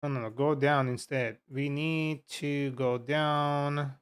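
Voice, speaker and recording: A man from Turkey with a Turkish accent, a software developer chatting frankly and with profanity to his viewers while coding live. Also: a little high, steady tone, slow pace, clean tone. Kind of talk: casual live coding stream chat.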